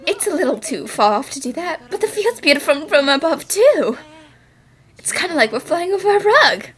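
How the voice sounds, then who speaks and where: A woman's voice: high voice, big pitch swings, normal speed, a bit bright. A woman acting a confident, spirited young female detective in a cartoon fan dub, explaining things politely with self-assurance. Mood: cheerful, encouraging.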